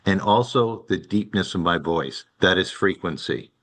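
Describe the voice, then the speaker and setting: Very deep-voiced man, halting talk. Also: expressive, fairly bright tone. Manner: halting, full of fillers and restarts. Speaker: wireless network representative. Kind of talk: presentation to a city commission. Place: public meeting room.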